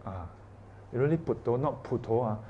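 A man's voice: mid-range voice, lively intonation, moderate pace, highs cut off, slight background noise. A man teaching an informal Buddhist class, chatty and asking his listeners questions, often tagging sentences with "yeah" and "ah". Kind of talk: informal dharma class talk.

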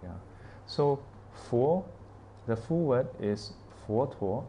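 A man's voice: mid-range voice, lively intonation, takes it slowly, warm tone, a little hiss or hum. A man teaching an informal Buddhist class, chatty and asking his listeners questions, often tagging sentences with "yeah" and "ah". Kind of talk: informal dharma class talk.

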